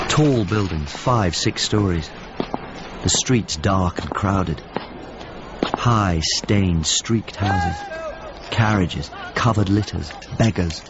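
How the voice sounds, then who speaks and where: Earnest painter in muffled radio drama. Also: male voice, deep voice. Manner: clipped, fragmentary descriptive narration. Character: earnest, anxious male painter recounting a search for his friend. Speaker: actor, narrator and lead of a radio drama. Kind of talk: radio drama narration and dialogue. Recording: dull lo-fi radio drama, clearly noisy background.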